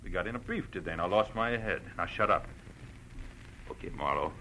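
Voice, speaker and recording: An American actor playing a menacing, short-tempered crooked police lieutenant in a 1940s radio drama, snarling and domineering. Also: male voice, sits low, animated, brisk, pushed and bright. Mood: commanding, threatening. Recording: noisy vintage radio recording.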